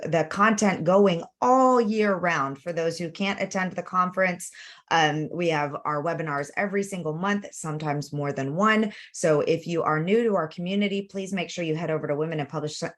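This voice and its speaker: Upbeat woman hosting a webinar, low voice. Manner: chatty, upbeat, with frequent fillers and restarts. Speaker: webinar host. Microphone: video call.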